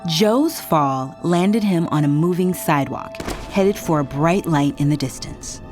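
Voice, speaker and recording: A woman reading scripted narration for a children's read-along storybook in an expressive, dramatic way. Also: low-pitched, measured pace. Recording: music behind the narration.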